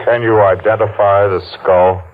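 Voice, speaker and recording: An actor playing a masked, maimed survivor out for revenge in an old-time radio thriller, speaking in a menacing, taunting, deliberate way. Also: male voice, fairly low pitch, fairly even intonation, close to an everyday voice. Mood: mocking. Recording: muffled, noisy old-time radio recording.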